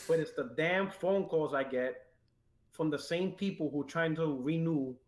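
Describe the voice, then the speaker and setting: A man co-hosting a casual podcast, telling an animated story and mimicking callers. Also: on the high side, measured pace, echoey room, crowd noise. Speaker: podcast co-host.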